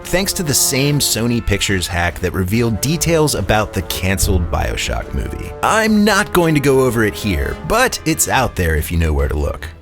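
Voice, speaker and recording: A man narrating a scripted video essay. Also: on the low side.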